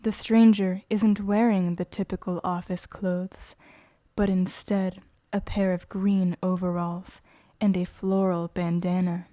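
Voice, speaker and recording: A woman's voice: lowish voice, flat delivery, a little slow, mellow, dry recording, studio quality, natural voice. A woman narrating a scripted audio drama.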